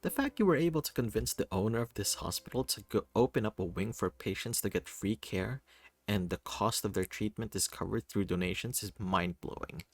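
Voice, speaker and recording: A man's voice: lowish voice, a little fast, dry recording. A man voicing an awkward, earnest, starstruck fan in a visual novel reading. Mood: nervous admiration.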